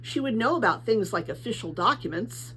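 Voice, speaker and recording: A woman reading a children's novel aloud as an expressive storybook narrator. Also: fairly high pitch, a little fast, a little hiss or hum, rough recording. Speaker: storybook reader.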